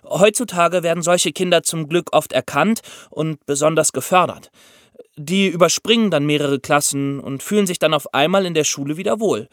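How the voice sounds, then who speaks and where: A man's voice: on the high side, normal speed, no echo, clean studio sound. A man co-hosting a true-crime podcast, explaining things in a conversational way. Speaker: podcast co-host.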